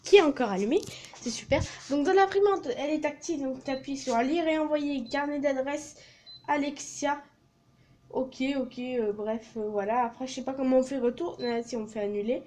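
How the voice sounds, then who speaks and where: A woman's voice: high-pitched, moderate pace, a little mellow, a little hiss or hum. A teenage girl vlogging casually from a small office, joking and swearing as she walks through her work placement. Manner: crude, joking, swears a lot. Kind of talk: casual vlog.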